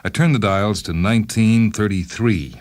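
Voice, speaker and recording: A man's voice: fairly low pitch, normal speed, a little mellow, dry recording, pitched above the performer's own voice, close to an everyday voice. A man reading as a disgruntled, self-justifying inventor, wry and fussily verbose, in a first-person short story. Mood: deadpan.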